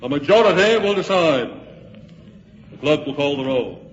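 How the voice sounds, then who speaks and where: An actor playing a stately, authoritative president of a colonial congress in a radio drama. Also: male voice, fairly high pitch, lively intonation, normal speed, natural voice. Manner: formal, authoritative. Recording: dull, noisy old recording, echoing hall.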